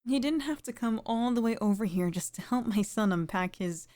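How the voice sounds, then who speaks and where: A woman voicing a sweet, middle-aged single mother in an intimate ASMR roleplay, speaking softly and flirtatiously with sighs and pauses. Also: a little high, lively intonation, natural voice. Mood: grateful, warm.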